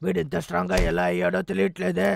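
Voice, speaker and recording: A voice actor playing a gruff, bossy, protective big-brother bear with a gravelly voice in a dubbed children's cartoon. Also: medium pitch, level tone, very fast, heavy background noise. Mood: angry.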